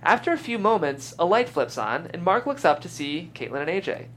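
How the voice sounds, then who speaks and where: A man's voice: high voice, big pitch swings, fairly quick, fairly bright tone. A man reading screenplay stage directions aloud as the narrator of a live table read. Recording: faint background noise.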